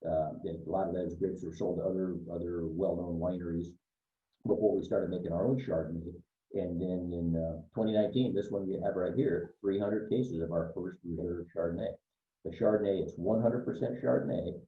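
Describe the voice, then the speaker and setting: An older winemaker from Northern California talks through his wines, relaxed and full of fillers. His low voice sits far from the mic in an echoey room. The sound is thin and phone-like. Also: fairly quick. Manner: relaxed, explanatory, with many fillers. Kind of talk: explaining wines in a virtual tasting.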